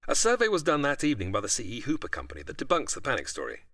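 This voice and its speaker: A man's voice: mid-range voice, wildly swooping pitch, racing, bright, forceful tone, no room sound, clean studio sound. A man giving prepared, articulate explanations as an expert guest, with a storyteller's delivery. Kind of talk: explanatory interview, largely prepared narration.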